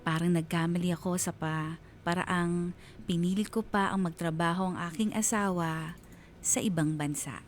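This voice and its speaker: A man's voice: sits high, steady tone, normal speed, natural voice. Earnest, sorrowful husband narrating over music played by a man. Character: wronged husband narrating his letter of heartache. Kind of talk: first-person radio drama narration. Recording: music bed behind the voice.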